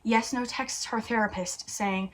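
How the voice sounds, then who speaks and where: A woman's voice: on the high side, steady tone, a little slow. A woman hosting a comedic read-aloud, playful and mock-dramatic, narrating a story and dropping in her own asides. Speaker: YouTube host.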